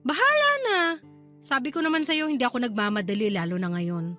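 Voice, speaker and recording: A woman playing an affectionate, easygoing young aunt in a Tagalog radio drama, talking in a casual, expressive way. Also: a little high, brisk, faint music behind. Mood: breezy, defensive. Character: affectionate hardworking young aunt, easygoing, busy online seller.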